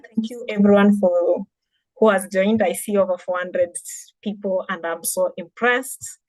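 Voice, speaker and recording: A woman's voice: medium pitch, steady tone, unhurried, no room sound, poor audio. Earnest Kenyan woman presenting a webinar. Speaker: digital marketing trainer presenting. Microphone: video call.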